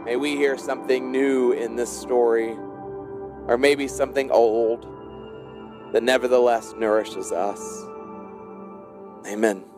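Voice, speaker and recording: A man's voice: mid-range voice, fairly even intonation, measured pace, long pauses, music in the background. A man speaking as a pastor, leading prayer and preaching to his congregation. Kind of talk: church prayer and sermon.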